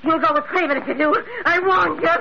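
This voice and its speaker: A woman's voice: high-pitched, quick pace, creaky, pushed and bright, full character voice. An actress playing a desperate woman with a gun who is coming unhinged, in 1940s radio melodrama. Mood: threatening. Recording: muffled, very noisy old radio recording.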